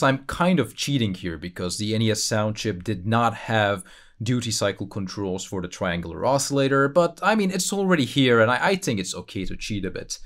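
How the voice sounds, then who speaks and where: A man with a fairly low voice narrates a screen-recorded software tutorial. He explains each step informally, thinking aloud in a casual, conversational way. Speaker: tutorial presenter.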